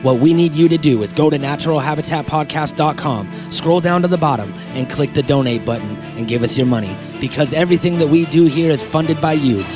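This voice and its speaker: A man's voice: fairly high pitch, fairly quick, few pauses, over music. A man hosting a podcast in a casual, comedic, joking manner. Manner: profane, joking. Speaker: podcast host.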